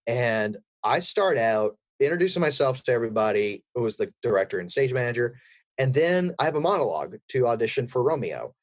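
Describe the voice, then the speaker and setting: A man's voice: mid-range voice, expressive, fairly quick, no room sound, dull audio. A man who works as a voice actor, talking casually as a guest in an interview.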